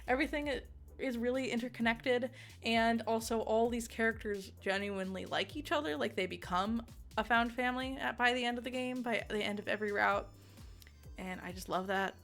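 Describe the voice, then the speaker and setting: Giggly, higher-voiced woman reviewing games over music. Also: a little fast, vocal fry, fairly bright tone. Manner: giggly, enthusiastic, rambling. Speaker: video game reviewer and streamer. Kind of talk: casual, unscripted review chat.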